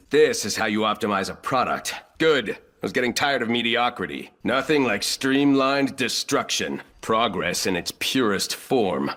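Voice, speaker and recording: An actor playing an arrogant, ruthless, calculating corporate villain in a video game, speaking in clipped, condescending lines. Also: male voice, fairly low pitch, a bit bright. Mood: smug satisfaction.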